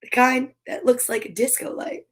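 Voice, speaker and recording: A woman's voice: on the high side, fairly even intonation, normal speed, background noise. A woman hosting a children's storytime, reading a picture book aloud expressively with chatty asides. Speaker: storyteller and host.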